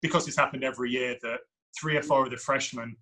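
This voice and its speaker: A deep-voiced man in his thirties talks through his coaching experience on a podcast with a non-American accent. His tone is bright and forceful, yet he thinks aloud as he answers, weighing each point. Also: fairly even intonation, fast. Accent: foreign to the United States. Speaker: women's soccer head coach.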